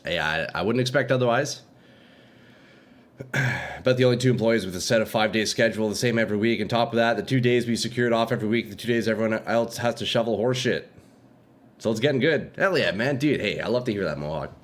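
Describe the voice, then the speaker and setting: A man's voice: fairly low pitch, fast. A young man streaming a game live with a stuffy nose, chatting with viewers in a laid-back, slangy way at a desk microphone.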